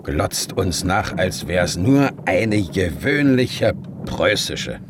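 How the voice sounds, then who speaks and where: An actor playing a gruff, stern old king scolding his son in a radio play. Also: low voice, very animated, takes it slowly, noisy background, heavily acted. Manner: gruff, blunt.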